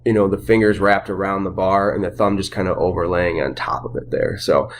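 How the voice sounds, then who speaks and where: Low-voiced nasal man hosting a podcast. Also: fairly quick. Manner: relaxed, rambling with many fillers. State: nasal from a sinus infection. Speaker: podcast host. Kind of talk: casual live educational podcast.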